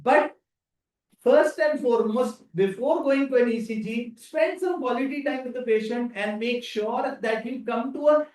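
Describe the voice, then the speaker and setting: A middle-aged Indian man lectures medical students in an earnest, advising way, leaning on repetition to drive points home. His voice sits high for a man and comes from some distance from the mic. The room around him rings with echo. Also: normal speed, a little mellow. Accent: Indian English. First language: Urdu. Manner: earnest, advising, repeats for emphasis. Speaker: doctor teaching medical students. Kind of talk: online workshop lecture.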